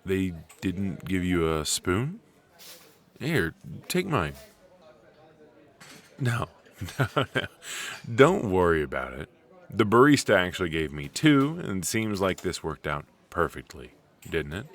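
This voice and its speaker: Deep-voiced, rambling, flirty coffee date played by a man. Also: sing-song, heavily acted. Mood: flustered, playful.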